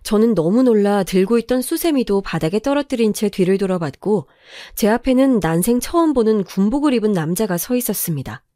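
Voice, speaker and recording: Woman narrator, warm low tone, calm first-person story reading, dry close sound. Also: fairly quick, no echo.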